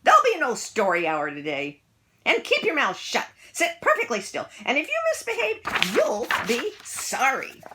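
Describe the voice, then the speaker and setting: A woman's voice: fairly high pitch, huge pitch swings, normal speed, pushed and bright, slight background noise, pitched above the performer's own voice, exaggerated voice. A woman voicing a stern, nasty substitute teacher who barks orders at a class. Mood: stern, threatening. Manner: barking orders.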